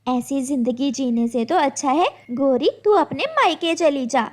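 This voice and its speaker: A woman's voice: high voice, lively intonation, measured pace, voice pushed up. A woman voicing a sympathetic female bird friend in a cartoon story, concerned and advising. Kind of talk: Hindi cartoon story acting.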